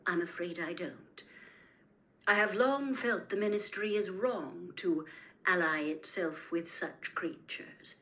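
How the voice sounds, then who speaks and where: A woman voicing a calm, principled elder wizard, a man with a measured manner, in a storybook reading. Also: on the low side. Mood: calm, disapproving.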